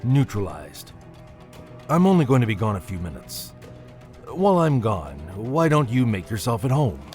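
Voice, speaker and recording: An actor playing a composed, curt military guard following orders in a horror audio drama. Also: male voice, medium pitch, very animated, moderate pace, a little mellow, soft background music, lightly acted. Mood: curt, official. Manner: controlled, authoritative.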